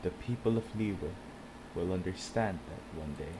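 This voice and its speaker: A man's voice: low-pitched, moderate pace, noisy background. A man streaming a game, casually reading dialogue choices aloud and reacting to them.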